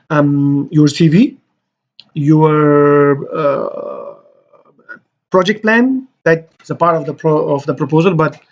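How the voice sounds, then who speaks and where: A man with a somewhat high voice walks viewers through an online application on screen. He talks loosely and conversationally, often pausing and restarting. The audio is dull and lo-fi. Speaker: host giving application guidance. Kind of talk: screen-share walkthrough tutorial.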